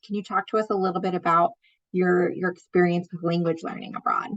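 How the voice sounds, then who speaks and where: A woman's voice: lowish voice, flat delivery, fairly quick. A woman moderating a student panel, asking questions in an encouraging way. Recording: dull lo-fi audio.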